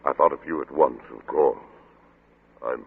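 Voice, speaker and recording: A man acting an obsessed, agitated scientist in a 1940s radio suspense play, with intense, halting delivery and a gravelly voice. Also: rumbling low, heavily acted. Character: obsessed, agitated scientist doctor husband turning slyly deceitful. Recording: noisy, narrow-band old radio recording.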